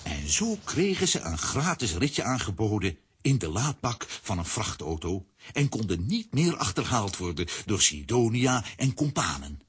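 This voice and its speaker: A man narrating a children's radio play in an expressive storytelling manner. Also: deep voice, slightly rough.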